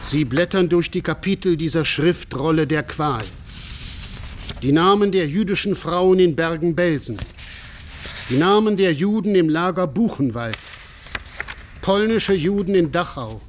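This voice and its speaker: A man reading a scripted radio documentary narration in a solemn, measured manner. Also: fairly high pitch, animated, a little hiss or hum.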